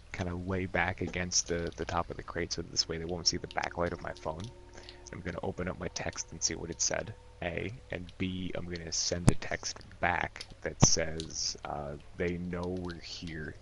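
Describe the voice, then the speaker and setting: A man's voice: deep voice, flat delivery, moderate pace, creaky, fairly bright tone. A man playing a tabletop game, chatting casually in a blunt, sweary way, with some background noise.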